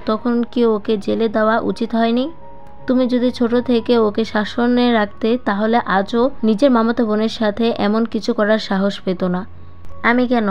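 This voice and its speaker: A woman's voice: a little high, natural voice. Young niece, firm, hurt and reproachful, audio drama, acted by a female storyteller, slightly dull recording. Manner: calm, reproachful, resolute.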